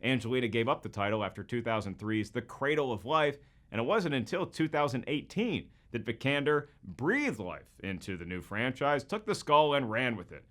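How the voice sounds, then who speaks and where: A man's voice: medium pitch, a bit bright. A man with an American accent hosting a scripted comedic video essay in a jokey, emphatic presenter's delivery. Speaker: host.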